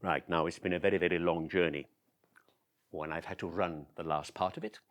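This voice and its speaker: A man's voice: deep voice, fairly even intonation, brisk, close to an everyday voice. A man playing a chatty, confiding friend who tells his story straight to the audience, with wry asides, in a one-man stage monologue.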